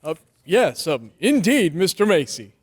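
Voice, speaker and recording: A man playing a flustered, excitable department store manager in old-time radio drama style, stammering and exclaiming. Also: high voice, unhurried, husky, full character voice. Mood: delighted, flustered.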